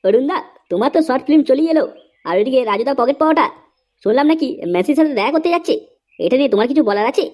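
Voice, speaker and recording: A woman's voice: high voice, lively intonation, racing, darkish tone, slight background noise. A woman voicing an inquisitive, polite young customer in a comedy cartoon. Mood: curious.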